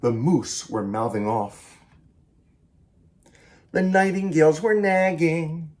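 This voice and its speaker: A man, a children's author, reading a picture book aloud in an animated, theatrical and emphatic way. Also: fairly high pitch, slow pace, a little hiss or hum. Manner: theatrical, emphatic, with varied delivery.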